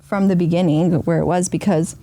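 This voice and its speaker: A woman's voice: lowish voice, steady tone, fairly quick, dark tone, slight background noise. A woman from Argentina, a podcast guest, talking reflectively in long flowing sentences. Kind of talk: casual podcast conversation.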